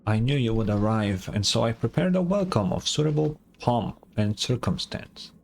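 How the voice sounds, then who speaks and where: A man's voice: lowish voice, lively intonation, normal speed, vocal fry, darkish tone, slight background noise. A man voicing a courteous, knowing guide who speaks through machines in a game, formal in manner. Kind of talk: reading game dialogue aloud.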